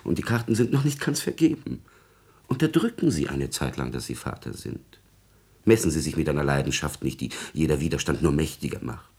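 A scheming court secretary from a classical radio drama. The voice is very low and husky, with lively, swooping intonation. The delivery is obsequious and formal, always calculating and insinuating. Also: male voice, a little fast, full character voice. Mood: smoothly persuasive. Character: scheming, servile male court secretary plotting intrigue.

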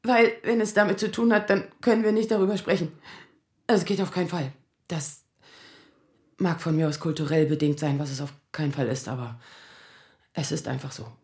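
A woman's voice: low-pitched, a little mellow, roomy sound. An actress playing a distraught woman in her thirties in an audio drama, speaking in anxious, stammering bursts. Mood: evasive, upset. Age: 30s. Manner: halting, stammering. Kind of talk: naturalistic audio drama acting.

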